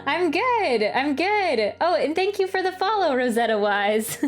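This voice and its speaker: A woman's voice: high voice, big pitch swings, unhurried, soft background music. A young adult woman chatting casually on a game livestream in a friendly, giggly, slightly apologetic way.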